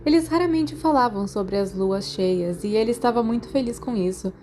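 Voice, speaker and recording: A woman with a Brazilian accent reading a story aloud as an expressive audiobook narrator. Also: on the high side, moderate pace, a little hiss or hum.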